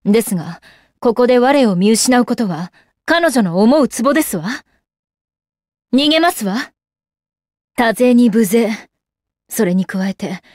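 A woman's voice: on the high side, no echo, studio quality, close to an everyday voice. An actress playing a proud, composed young goddess warrior in a game, with refined, ladylike and formal speech. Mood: resolute, serious. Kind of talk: Japanese anime game character acting. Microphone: close dry studio microphone.

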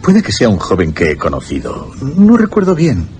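An actor playing an unstable, tormented young gentleman in a radio horror drama, theatrical and halting. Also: fairly low pitch, huge pitch swings, slight background noise. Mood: fragile, tender joy.

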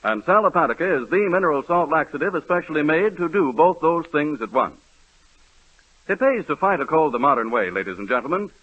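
A man reading a brisk radio commercial as a 1930s announcer. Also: on the high side, big pitch swings. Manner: brisk, smooth, salesman-like. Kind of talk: 1930s radio ad read and comedy. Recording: muffled old radio broadcast.

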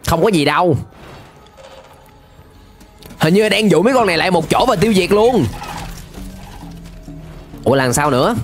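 Lively young man reacting excitedly. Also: high-pitched, normal speed, pauses often, over music. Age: young adult. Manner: animated, exclamatory, slangy. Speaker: reaction video host. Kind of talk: excited reaction commentary on an animated episode. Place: small indoor room, webcam setup. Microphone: close desk microphone.

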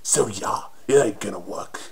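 A man voicing a cocky, flirty undead punk rocker monster for a game, with a raspy British accent and cheeky taunting slang. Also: fairly low pitch, moderate pace, a little hiss or hum. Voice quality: raspy, husky. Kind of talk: video game character voice demo.

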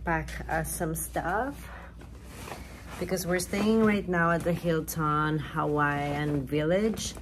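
A young woman chatting to her phone camera in a hotel room, gushing and tired, her voice trailing off softly at times. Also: deep voice. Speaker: vlogger. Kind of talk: casual travel vlog. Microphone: phone at arm's length. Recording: noisy phone recording.

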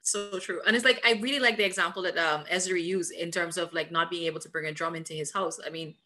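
Young woman from guyana hosting a video chat, bright lively voice. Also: lowish voice, quick pace. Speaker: moderator. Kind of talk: video call discussion.

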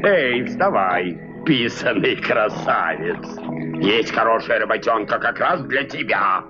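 A man's voice: lowish voice, lively intonation, takes it slowly. A man voicing a tyrannical, hot-tempered cactus king in a dubbed cartoon, pompous and menacing in a theatrical way. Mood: mocking. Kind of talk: dubbed animated film acting. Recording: dull old film soundtrack with loud music.

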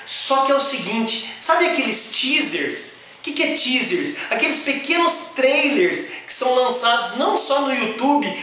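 A man's voice: very high-pitched, a little slow, a little hiss or hum. Emphatic Brazilian man in a very echoey room. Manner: animated, emphatic, stretching key words. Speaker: sales coach and motivational speaker. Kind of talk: motivational sales talk to camera. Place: small indoor office with bare wall.

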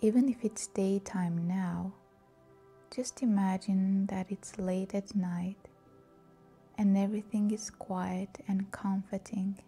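A woman leading a scripted guided meditation in a soft, breathy, soothing and calm voice. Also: mid-range voice, takes it slowly, faint music behind.

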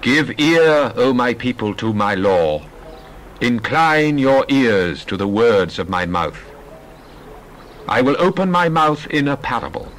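Man, dramatized scripture reading, solemn measured delivery, very unhurried, soft music underneath. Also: mid-range voice, very animated, rough recording. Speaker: scripture reader.

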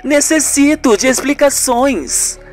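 Woman acting a high-voiced, questioning aunt in a soap. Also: animated, slow pace, faint music behind. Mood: confused. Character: confused, protective woman, caring aunt. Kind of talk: amateur radio soap acting.

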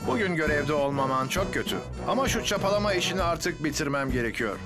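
A man playing a reassuring, steady heroic firefighter in a dubbed children's cartoon, with a friendly, expressive manner. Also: on the high side, quick pace, lightly acted. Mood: concerned. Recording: loud music behind the voice.

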